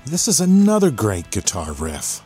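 A man's voice: fairly low pitch, huge pitch swings, measured pace. An American man hosting a music podcast, talking through a song with enthusiasm. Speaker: podcast host. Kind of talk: music appreciation podcast commentary.

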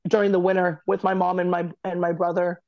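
A woman guest in a casual interview, talking candidly in a chatty, quick way. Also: fairly low pitch, flat delivery, muffled recording. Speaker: podcast guest, former college basketball player.

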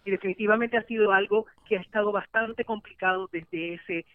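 A woman in her forties, a sports journalist answering in an animated podcast interview, laughing easily. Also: medium pitch, a little fast, no echo. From Puerto Rico. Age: 40s. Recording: tinny phone line.

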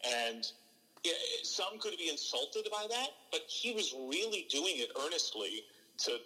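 Bright-voiced, earnest male broadcaster. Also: a little high, a little slow, in a large hall, rough recording. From from New Jersey. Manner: earnest, animated, frequent restarts. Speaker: baseball play-by-play broadcaster, podcast guest. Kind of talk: relaxed interview storytelling.

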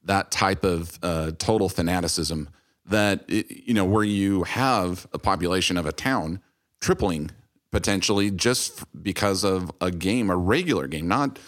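A man's voice: low voice, moderate pace. A man hosting a casual interview, offering analytical comparisons in a slightly hesitant way. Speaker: interview host. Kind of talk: casual chat.

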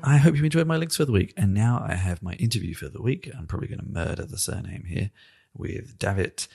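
A man's voice: lowish voice, animated, fast, vocal fry, a little mellow, husky. A man hosting a podcast in a conversational, musing and wry manner, often hedging as he goes. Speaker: podcast host and interviewer.

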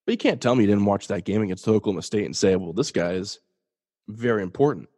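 A man's voice: lowish voice, animated, fast talker, dark tone. Young male podcast host, stuffy-nosed, casual and jokey sports chat. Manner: casual, jokey, restarts and self-deprecating asides. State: congested, sniffly. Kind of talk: solo sports podcast commentary.

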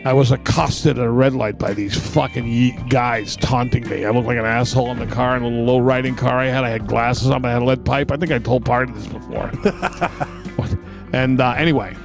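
A man co-hosting a podcast, telling stories casually and comically in a boisterous, profane, animated way. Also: mid-range voice, a little fast, vocal fry, over music. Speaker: podcast co-host.

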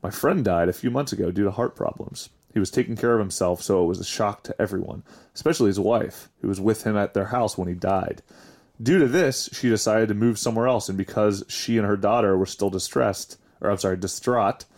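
A man with a fairly low voice hosts a relaxed talk podcast. He speaks informally, in the loose style of chatting among friends or reading out stories to them. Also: fairly quick. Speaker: podcast host. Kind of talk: casual chat, reading listener confessions aloud.

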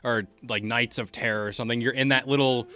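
Bright-voiced man hosting a casual podcast. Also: mid-range voice, fairly even intonation, fast. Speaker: podcast host. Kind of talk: casual group discussion.

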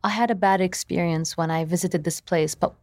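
Interview guest: young woman, lowish voice, dry close sound, thoughtful and talkative. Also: fairly even intonation, fairly quick, a little mellow, no room sound. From Lebanon, grew up in Saudi Arabia. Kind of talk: conversational interview.

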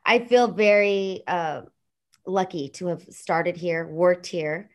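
A woman's voice: lowish voice, steady tone, slow pace. Bubbly woman with an LA accent. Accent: Los Angeles regional. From Los Angeles. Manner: bubbly, laughs often, rambling asides. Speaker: radio and TV personality, guest. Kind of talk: casual podcast chat.

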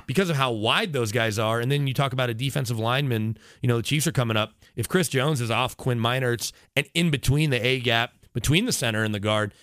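A man's voice: medium pitch, brisk, few pauses, a bit bright, no room sound, clean studio sound. A man talking as a sports radio analyst in casual conversation, opinionated and emphatic, often digressing as he makes his points.

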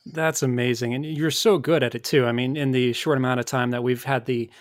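A man of middling pitch hosting a conversation over a video call, his voice close and dry with no room around it. He sounds appreciative and repeats his first words as he gets going. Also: fairly even intonation, a little fast, dry recording. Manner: warmly appreciative, repeats words when starting. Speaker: host. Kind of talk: casual interview conversation.

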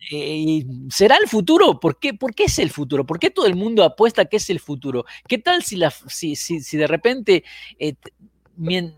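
Man, host, animated, interrupts guests, higher voice, dry sound. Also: moderate pace, dry recording. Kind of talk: casual talk show chat.

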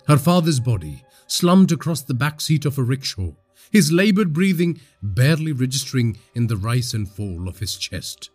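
A man from India narrating a scripted horror story in a husky voice, with dramatic, suspenseful delivery. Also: medium pitch, very animated, moderate pace, a little mellow, soft background music.

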